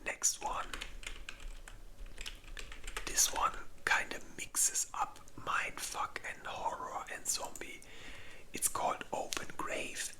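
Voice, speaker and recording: An ASMR host speaking in a breathy, unvoiced whisper, rambling casually and informally with halting pauses.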